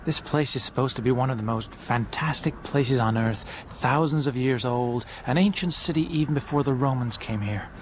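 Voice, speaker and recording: A man's voice: medium pitch, moderate pace, hardly any pauses, natural voice. Listless, subdued man played by an actor. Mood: numb, wistful. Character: listless, numb, introspective married man. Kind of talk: radio drama acting. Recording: faint noisy ambience behind dialogue.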